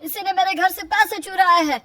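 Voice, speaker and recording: Woman doing a high, harsh, accusing villager. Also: moderate pace, bright, forceful tone. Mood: angry. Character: harsh accusing villager, bullying and self-righteous. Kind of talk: Hindi moral-story cartoon dub.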